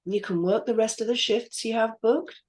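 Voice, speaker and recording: A woman reading the part of a gruff male hospital supervisor in his fifties in a script read-through, awkward and apologetic. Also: a little high, normal speed, a little mellow, close to an everyday voice.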